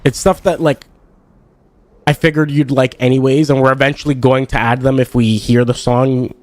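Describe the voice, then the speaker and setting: A man's voice: mid-range voice, level tone, moderate pace, dry recording. A young man streaming a card game, chatting casually in a rambling way full of fillers, and stuffed up with congestion. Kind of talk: casual chat while playing a card game.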